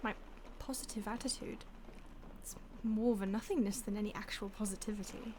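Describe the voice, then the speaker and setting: A woman playing an ancient, detached vampire stalker in an intimate audio roleplay, with a breathy voice that often drops to a whisper and a languid, teasing manner. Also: medium pitch, fairly even intonation, a little fast, a little mellow, slight background noise, lightly acted. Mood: musing, detached. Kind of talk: intimate audio roleplay, ASMR-like acting addressed to the listener.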